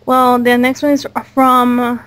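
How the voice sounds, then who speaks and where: A woman's voice: fairly high pitch, completely flat delivery, slow, fairly bright tone. A woman hosting a casual cast interview, reading out questions and chatting in an informal, giggly way. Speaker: host of a cast interview for a fan audio drama. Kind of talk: casual group chat, reading out listener questions.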